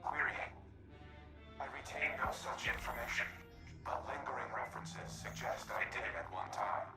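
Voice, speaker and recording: An actor voicing a solemn AI mind in a robot body for a video game, speaking formally and deliberately with a gravelly voice. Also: male voice, medium pitch, close to an everyday voice. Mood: calm, guarded. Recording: loud music behind voice.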